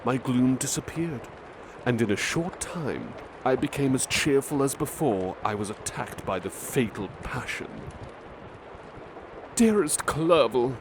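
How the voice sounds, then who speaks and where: A man in an ASMR roleplay as a butler, reading aloud softly and unhurriedly, calling the listener beloved. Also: mid-range voice, expressive, unhurried, background noise.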